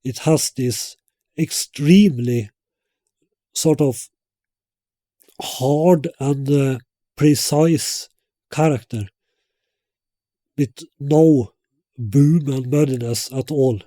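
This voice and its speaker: A man explains technical design at a very unhurried pace, with hesitant, halting phrasing. His voice is soft-edged and mellow, captured in a dry, close sound. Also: medium pitch, no room sound. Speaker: loudspeaker designer presenting own product. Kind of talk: product presentation with technical explanation.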